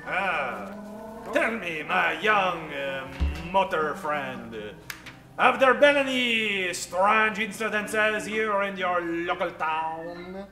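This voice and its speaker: Guy doing a pompous secretive gentleman, old radio comedy, slow, high and swoopy, roomy. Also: lively intonation, loud music behind the voice. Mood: scheming. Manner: pompous, formal, halting. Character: pompous, secretive male traveler, grandly formal.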